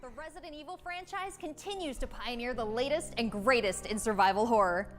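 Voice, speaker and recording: High, polished female showcase host. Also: brisk, a bit bright. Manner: polished, upbeat announcer read. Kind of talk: scripted presentation.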